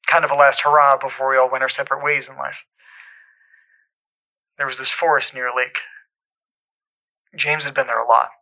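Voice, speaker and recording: Haunted man, bright gravelly voice. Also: medium pitch, level tone. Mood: uneasy, haunted. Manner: halting, earnest. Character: troubled man recounting an eerie supernatural memory from youth. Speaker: caller. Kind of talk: dramatic storytelling in an audio drama.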